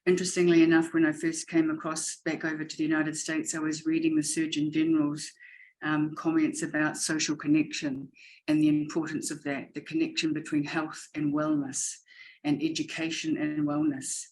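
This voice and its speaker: New Zealand woman, 50s, native Māori speaker, earnest coach, level flat delivery; faint background noise. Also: low-pitched, moderate pace, poor audio. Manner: earnest and steady, with repeated rhetorical questions. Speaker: education coach and panelist. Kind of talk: warm motivational talk.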